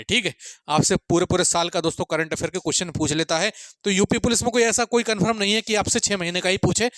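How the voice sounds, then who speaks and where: A man's voice: sits high, fairly bright tone, dry recording, studio quality. A man giving an informal exam-prep lecture, repeating his points and often checking in with students in a friendly way.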